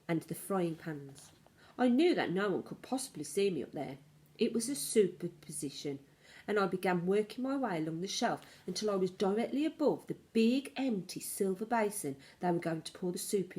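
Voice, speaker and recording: A woman reading a children's story aloud like a teacher, in expressive narration with dramatic, varied emphasis. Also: on the low side.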